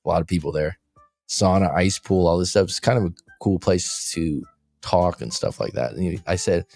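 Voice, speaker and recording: A man's voice: low-pitched, moderate pace, gravelly, no echo, faint music behind. A man co-hosting a casual livestream chat, telling anecdotes and acting out other people's voices. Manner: animated storyteller, imitates voices in anecdotes. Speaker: livestream co-host. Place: lives abroad in Thailand.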